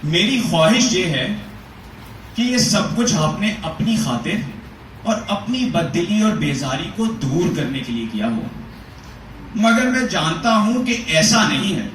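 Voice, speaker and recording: Guy reading an essay out loud all dramatic, higher voice, echoey room, kinda far from the mic. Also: big pitch swings. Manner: measured, rhetorical, questioning delivery. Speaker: reader of a literary essay. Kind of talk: dramatic reading of Urdu prose. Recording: echoey room, voice from a distance, faint noise.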